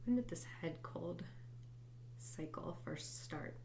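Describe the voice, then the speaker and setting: A woman hosting a guided tapping session, congested from a head cold, speaking softly and reflectively and sometimes dropping to a whisper. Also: fairly low pitch, expressive, slow, creaky, low-quality recording. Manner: soft, reflective, drifts into quiet whispered tapping phrases. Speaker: host and EFT tapping coach.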